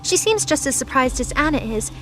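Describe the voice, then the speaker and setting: A woman narrates an audio drama in a high-pitched voice. Her delivery is scripted, dramatic storytelling. Music plays clearly behind her. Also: big pitch swings, fairly quick, poor audio.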